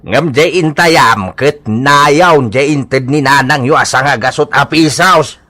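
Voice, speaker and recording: A man playing a stern old Ilocano father in a radio comedy drama, admonishing with drawn-out questions. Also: mid-range voice, sharp tone. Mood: scolding. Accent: Ilocano Filipino. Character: stern, scolding old father disapproving of gambling.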